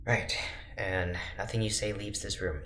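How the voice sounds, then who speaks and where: A reserved, precise doctor speaks in a very low, bright-edged voice, formal and clinical. It is audio drama, recorded in a roomy, echoing space with faint noise. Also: male voice, fairly even intonation, a little slow. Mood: measured, clinical. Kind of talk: naturalistic audio drama acting.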